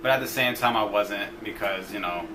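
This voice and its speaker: A young man co-hosting a couple's vlog, telling the story in a relaxed, halting way full of "you know" and "uh". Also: on the low side, background noise. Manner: laid-back, hesitant, lots of "you know" and "uh". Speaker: vlog co-host. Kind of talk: casual storytime chat.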